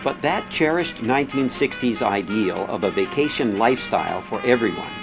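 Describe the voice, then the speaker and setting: A man narrating a scripted documentary in a measured, pointed way with deliberate emphasis. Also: medium pitch, lively intonation, vocal fry. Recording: dull, band-limited sound with music behind.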